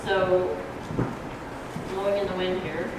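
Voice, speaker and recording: Soft-spoken woman in a very echoey hall. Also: fairly low pitch, little pitch movement. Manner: halting, hesitant, loses her thread. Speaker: ballot measure proponent, debate speaker. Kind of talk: informal explanatory forum speech. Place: community grange hall. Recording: echoey hall, distant noisy microphone.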